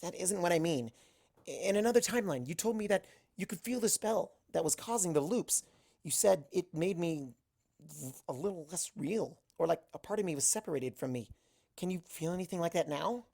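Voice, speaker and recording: A woman's voice: fairly low pitch, animated, moderate pace, dark tone. A woman voicing an earnest, bookish, anxious young mare in a storybook reading, stammering at times. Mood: earnest, uneasy.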